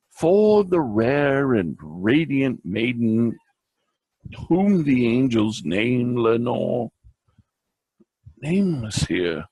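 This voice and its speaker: Slow, gravelly man reciting poetry dramatically. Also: medium pitch, a little mellow, dry recording, low-quality recording. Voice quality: gravelly, husky. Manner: theatrical, deliberate, with long pauses. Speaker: reader and presenter.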